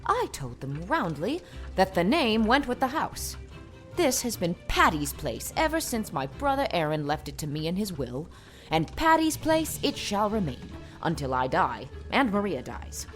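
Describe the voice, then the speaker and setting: A woman playing a proud, brisk old spinster lady in a dramatized audiobook, speaking in an emphatic, decisive way. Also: medium pitch, very animated, soft background music. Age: older. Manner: emphatic, decisive, plain-spoken.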